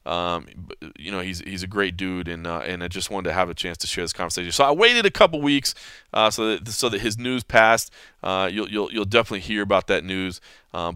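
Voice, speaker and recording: A man's voice: deep voice, sharp tone, smooth, dry recording, studio quality. A man hosting a podcast interview in a laid-back, casual way, full of fillers and friendly "man"s. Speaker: podcast host interviewing a guest.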